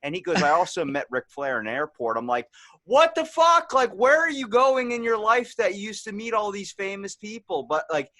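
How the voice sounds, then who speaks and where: A man's voice: sits high, big pitch swings, moderate pace, sharp tone, dry recording. A man with a Baltimore accent hosting a podcast, telling loose, profane stories in casual chat. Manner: loose, profane storytelling with bursts of laughter. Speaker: podcast host.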